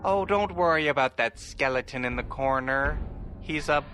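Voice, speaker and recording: A man's voice: mid-range voice, moderate pace, bright, forceful tone, a little hiss or hum. A man hosting a horror radio show, introducing it in a campy, playfully spooky, theatrical way.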